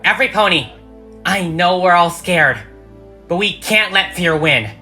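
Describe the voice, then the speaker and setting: Earnest high-voiced young mare leader , put on by a narrator. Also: animated, measured pace, sharp tone, soft background music. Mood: determined, rallying. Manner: earnest, rallying, emphatic. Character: brave, earnest young female pony leader.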